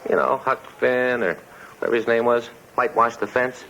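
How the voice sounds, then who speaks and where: An American actor playing a chatty, folksy police detective's partner in a 1950s radio drama. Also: male voice, medium pitch, fairly even intonation, a little fast, gravelly, a bit bright. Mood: earnest, chatty. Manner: folksy, conversational. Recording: muffled 1950s radio recording, faint hiss.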